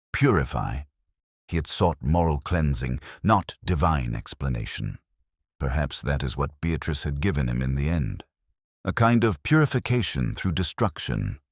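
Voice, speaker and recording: A man narrating as a melancholy, world-weary police inspector, in a measured, literary and solemn way. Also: a bass voice, no echo, clean studio sound, full character voice. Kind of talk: scripted first-person detective narration.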